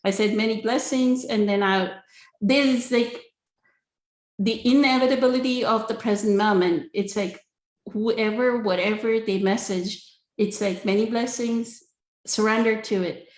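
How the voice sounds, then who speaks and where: A woman's voice: fairly high pitch, a little slow. A woman hosting an online spiritual question and answer session, speaking conversationally and earnestly, often checking in with her listeners. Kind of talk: spiritual talk, conversational teaching. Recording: echoey, roomy sound.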